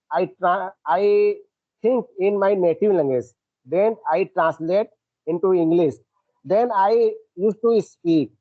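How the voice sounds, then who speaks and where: High, halting man on a tinny line. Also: no echo. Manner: hesitant, halting, with many fillers and restarts. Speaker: English learner. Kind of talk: conversation practice call. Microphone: online call. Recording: tinny phone-like dry line.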